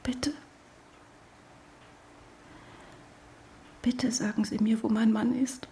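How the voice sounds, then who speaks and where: Schauspielerin als verzweifelte, misstrauische Ehefrau, Geräuschkulisse im Hintergrund. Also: female voice, on the high side, little pitch movement, normal speed, dark tone, a little hiss or hum, lightly acted. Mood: pleading. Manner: intense, bitter. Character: distraught, suspicious wife of a missing man, sharp-tongued. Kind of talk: radio drama acting with inner narration.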